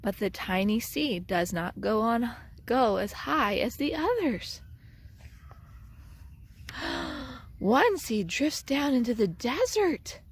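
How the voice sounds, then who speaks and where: A woman reading a children's picture book aloud as a storyteller, in an expressive, deliberate way with dramatic emphasis. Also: on the high side, breathy edge. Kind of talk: children's read-aloud narration.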